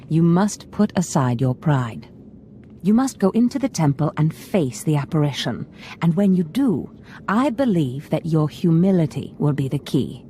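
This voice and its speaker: An actress playing a scheming, ambitious female Sith lord in a video game, speaking in a measured, imperious, portentous manner. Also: low voice, dark tone, slight background noise. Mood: grave, uncertain. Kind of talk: scripted video game dialogue.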